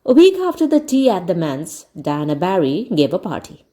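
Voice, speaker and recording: A woman narrator reading a classic children's novel aloud in an expressive storybook style. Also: fairly low pitch, normal speed. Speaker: audiobook narrator.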